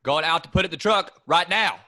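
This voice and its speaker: A man reading the part of Ray, a gruff, bossy farm brother with a drawling Kentucky accent, in a staged play reading. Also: fairly high pitch, a little fast, pushed and bright. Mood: teasing, bossy. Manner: drawling, needling, rough rural talk.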